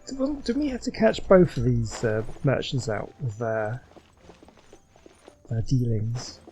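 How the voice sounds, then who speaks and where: A man's voice: medium pitch, huge pitch swings, measured pace, a little mellow. A man giving casual running commentary on the game he is playing. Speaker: gaming video host.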